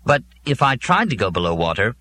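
A man's voice: medium pitch, big pitch swings, fairly quick, sharp tone, voice pushed up. Man acting a stubborn, argumentative, anxious man. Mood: alarmed, defiant. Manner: questioning, argumentative. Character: stubborn, anxious, defiant working man questioning orders. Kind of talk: acted dialogue in a science fiction audiobook.

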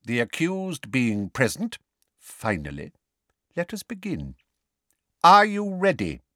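A man's voice: medium pitch, wildly swooping pitch, slow pace, no echo, clean studio sound, pitched above the performer's own voice, exaggerated voice. A man voicing a cold, pompous middle-aged male official in an audiobook, declaiming formally in a ringing, officious way. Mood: impatient.

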